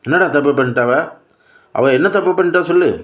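A man's voice: mid-range voice, steady tone, moderate pace, vocal fry, fairly bright tone, voice pushed up. A man voicing an anguished, defiant old widowed grandmother in a story, scolding and pleading with insistent questions. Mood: distraught, indignant.

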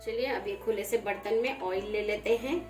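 A woman talking through a home cooking recipe step by step, in a gentle, conversational way. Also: medium pitch, moderate pace, soft background music. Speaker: home cook. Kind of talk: cooking demonstration voice-over. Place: home kitchen. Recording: roomy kitchen, frying noise. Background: sizzling frying pan.

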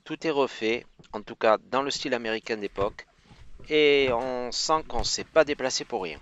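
A man narrating a travel vlog voice-over in a steady reading tone. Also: mid-range voice, moderate pace, vocal fry, highs cut off. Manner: steady reading, even explaining tone.

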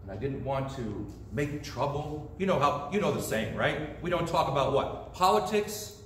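A man's voice: mid-range voice, lively intonation, normal speed, fairly bright tone, a little hiss or hum. A middle-aged man preaching a storytelling sermon in a church sanctuary, gesturing with both hands as he speaks. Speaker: pastor. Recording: distant echoey sanctuary sound.

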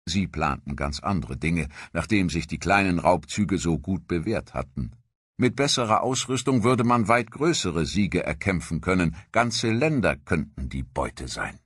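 Male documentary narrator, very low and husky, for scripted history narration. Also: fairly bright tone, no echo, clean studio sound.

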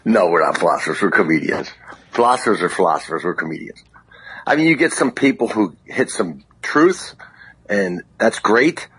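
A plain-spoken, opinionated man with a husky voice, chatting casually as a comedian guest in an interview. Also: fairly low pitch, lively intonation, moderate pace, a bit bright, poor audio.

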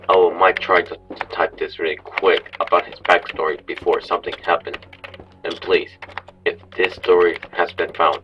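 A man playing a frightened, grim storyteller in a horror audio drama, halting and earnest as he narrates. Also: low voice, lightly acted. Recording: distant, muffled, noisy with keyboard clatter.

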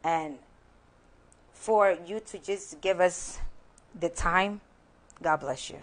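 A young Ghanaian woman hosting a studio talk show, lively and encouraging, mixing Twi with English, on a lapel mic. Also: fairly low pitch, slow.

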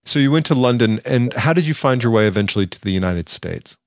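A man's voice: medium pitch, animated, fast, dry recording, clean studio sound. A man with a Southern US accent speaking as a podcast host, presenting and interviewing. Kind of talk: scripted introduction and interview questions.

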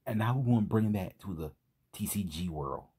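Husky, low-voiced man with animated delivery. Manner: emphatic, rhetorical questions, halting repetitions. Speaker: host. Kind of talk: informal video commentary over slides.

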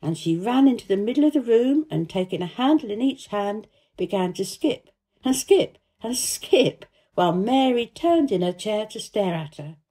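A woman narrating a children's story as an expressive, scripted reading. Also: mid-range voice, normal speed, slightly rough. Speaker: storybook reader, actor.

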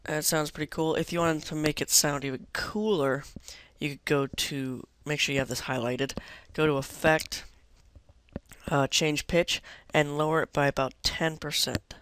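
A young man giving a casual software tutorial in an offhand, rambling way. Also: on the high side, steady tone, normal speed, smooth. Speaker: tutorial host.

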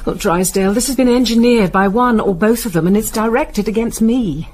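An English actress in a radio drama playing a sharp, bitter, imperious middle-aged woman barrister with a cutting, sarcastic way of talking. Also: fairly high pitch, a little fast, lightly acted. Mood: urgent, agitated.

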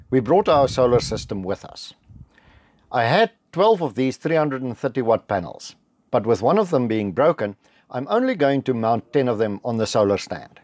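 A middle-aged South African man with an Afrikaans background, narrating a homestead building vlog in a measured, matter-of-fact voice-over. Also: mid-range voice, a little mellow, dry recording. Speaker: homestead vlogger. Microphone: close voice-over mic.

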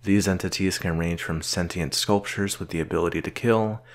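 A man playing a stern, solitary research doctor in an audio roleplay, speaking in a measured, dry, curt manner with a gravelly voice. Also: low voice, fairly even intonation. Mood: patient, measured. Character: gruff male researcher doctor, solitary, stern but patient mentor. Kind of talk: slow audio roleplay acting addressed to the listener.

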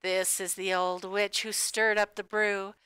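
A woman reading a rhyming picture book aloud to children in an expressive, sing-song way with emphatic key words. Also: medium pitch, measured pace, smooth, dry recording. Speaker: storybook reader.

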